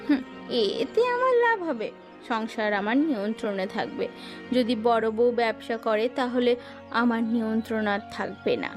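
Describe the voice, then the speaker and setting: A woman's voice: fairly high pitch, expressive, normal speed, music in the background, close to an everyday voice. A woman playing a sly, self-assured younger daughter-in-law who schemes in a village family cartoon. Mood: sly, persuasive. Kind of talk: cartoon moral-tale voice acting.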